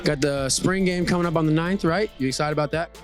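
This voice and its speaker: A man's voice: fairly high pitch, fast, over music. A young man, a football player, asking a teammate friendly questions in a sideline interview. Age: young adult.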